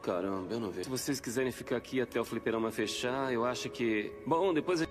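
A man voicing a fussy, officious security guard in an animated film dub, pedantic, with a Brazilian accent. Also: mid-range voice, quick pace, close to an everyday voice. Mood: weary, sympathetic. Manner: pedantic, exaggerated. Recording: film music behind.

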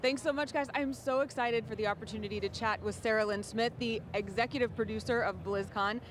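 A woman reporting and interviewing on a convention floor with a handheld mic, excited and friendly. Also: fairly high pitch, brisk. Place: large convention hall floor. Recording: crowd noise in a big hall.

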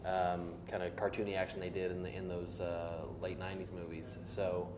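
An American man in his forties chatting as co-host of a panel show in a hesitant, halting way. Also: deep voice, level tone. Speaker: co-host of a geek culture show. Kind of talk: casual panel chat. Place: indoor room set, seated around a table. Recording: roomy sound, faint noise.

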